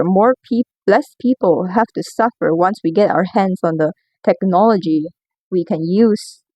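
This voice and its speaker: A woman's voice: medium pitch, a little mellow, no echo, low-quality recording. A woman talking casually in a rambling, informal audio log, self-deprecating and swearing freely as she gives her opinions. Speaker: video creator giving commentary.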